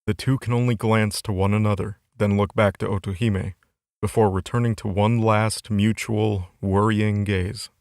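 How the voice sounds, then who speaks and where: A man's voice: lowish voice, little pitch movement, normal speed, darkish tone, no room sound, clean studio sound. A man narrating a scripted fantasy story as the narrator of an audio drama. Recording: music under the voice.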